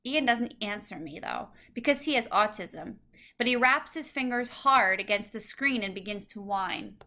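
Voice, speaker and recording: Bright-voiced woman reading a storybook. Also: medium pitch, animated, normal speed. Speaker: storybook reader. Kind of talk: scripted children's story narration, read aloud.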